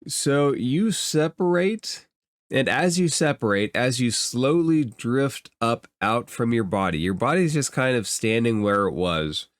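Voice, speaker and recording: A man narrating a tabletop fantasy game as game master, describing scenes in improvised, halting phrases and thinking aloud. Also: medium pitch, lively intonation, dry recording, clean studio sound.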